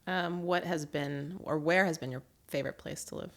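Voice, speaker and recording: A woman's voice: sits low, moderate pace. A woman hosting a podcast in a casual chat, informal and encouraging with frequent agreeing interjections. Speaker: podcast host.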